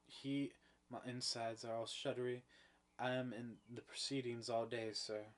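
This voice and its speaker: A man's voice: mid-range voice, little pitch movement, measured pace, natural voice. A man doing a trembling frightened servant girl. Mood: frail.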